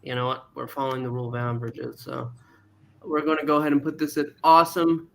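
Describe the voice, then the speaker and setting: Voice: mid-range voice, monotone, fast talker, creaky, a bit bright, slight background noise. A co-host on a casual livestream panel, chatting and reading out viewer votes.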